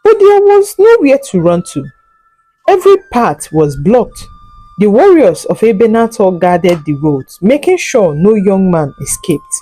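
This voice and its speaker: Slow, dramatic woman storyteller with lively pitch. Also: slightly rough, faint music behind, low-quality recording. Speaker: storyteller, narrator. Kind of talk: scripted folk tale narration, dramatic.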